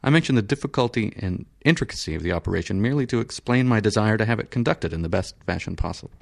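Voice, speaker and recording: A man reading an audiobook, voicing a stolid male surgeon who speaks flatly, patiently and formally. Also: lowish voice, gravelly, voice pushed up. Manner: flat, measured, formal.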